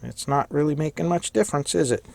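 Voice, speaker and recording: A man with a slightly hoarse voice giving a casual hands-on electronics tutorial, thinking aloud and correcting himself as he works. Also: fairly high pitch, quick pace, a little mellow. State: slightly hoarse, losing his voice. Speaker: electronics hobbyist demonstrating a kit.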